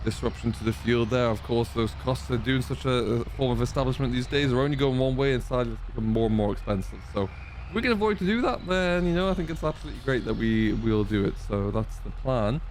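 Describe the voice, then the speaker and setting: A man's voice: medium pitch, quick pace, a little hiss or hum. A man giving casual, easygoing game commentary as a farmer, musing aloud as he goes. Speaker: host of a farming simulator roleplay series. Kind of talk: casual let's-play commentary, roleplaying a farmer. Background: tractor and machinery sounds from the game.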